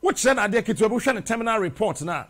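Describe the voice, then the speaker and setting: A middle-aged Ghanaian man hosting a TV studio show, delivering a preachy, animated monologue in Twi and English, repeating phrases rhythmically into a lapel mic. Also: sits high, racing, husky. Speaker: TV host. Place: TV studio with brick wall.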